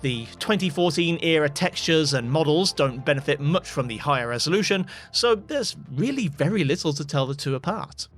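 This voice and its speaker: A man's voice: a little high, lively intonation, moderate pace, hardly any pauses, a bit bright, soft background music. A man giving scripted voice-over narration as a tech video host, with dry, wry asides.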